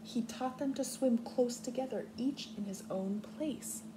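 A woman's voice: a little high, measured pace. A young adult woman with an American accent reads aloud and explains simply to small children, like a kindergarten teacher. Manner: instructive, simple wording with clear explanations. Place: living room on a couch, indoors. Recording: clearly noisy home recording.

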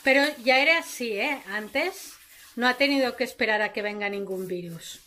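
A woman's voice: on the high side, normal speed, pushed and bright. A woman speaking Spanish, making playful, teasing remarks to the cook from off camera in a home kitchen. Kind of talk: joking banter.

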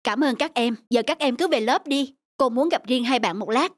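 A woman voicing a gentle, serious schoolteacher in a Vietnamese cartoon, admonishing softly and in a measured way. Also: high-pitched, dry recording. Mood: kindly, reassuring. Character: gentle, serious female schoolteacher, concerned and fair.

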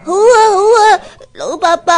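A woman's voice: extremely high pitch, animated, unhurried. A woman playing a young orphan shepherd boy in a Cantonese radio drama, with a thin, plaintive childlike voice and a Hong Kong accent. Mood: grateful, eager. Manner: plaintive, whimpering.

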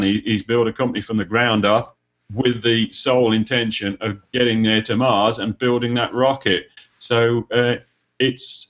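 A man's voice: mid-range voice, a bit bright, highs cut off. A British man from the United Kingdom, an author speaking as a podcast panelist, talking casually with frequent hesitations. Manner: hesitant, lots of "uh" fillers.

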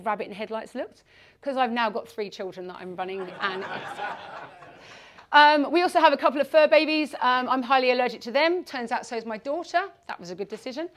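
A woman's voice: on the high side, fairly quick. A woman in her forties from England with a West Country accent, giving a self-deprecating, jokey presentation to an audience in a meeting room. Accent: West Country English. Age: 40s. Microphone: far camera.

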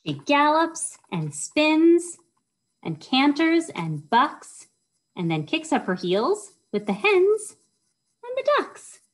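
A woman's voice: high-pitched, wildly swooping pitch, takes it slowly. A middle-aged American woman hosting a children's storytime, reading and chatting simply to young listeners. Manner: simple, child-directed talk with questions to listeners. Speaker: children's librarian, storytime host. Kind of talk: children's storytime read-aloud with chatty asides. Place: indoors, small wood-panelled room. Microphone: webcam or laptop at arm's length.

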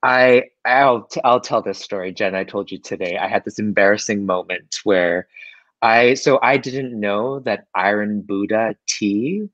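A man's voice: on the low side, fairly bright tone, no echo, highs cut off. A man in his thirties from Canada telling a casual, self-deprecating story as co-host on a video call from his dining room. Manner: self-deprecating, laughing, halting restarts.

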